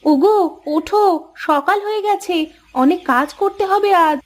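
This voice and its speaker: A woman playing a practical village wife in a cartoon folk tale, with an expressive, homely delivery. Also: extremely high pitch, a little slow. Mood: urgent. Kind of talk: cartoon dialogue voice acting. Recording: music behind the voice.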